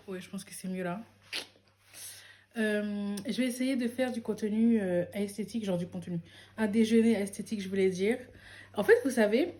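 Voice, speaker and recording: A woman's voice: medium pitch, very noisy. A woman in her thirties talking casually to camera in a vlog, rambling with many restarts and fillers. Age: 30s. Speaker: vlogger.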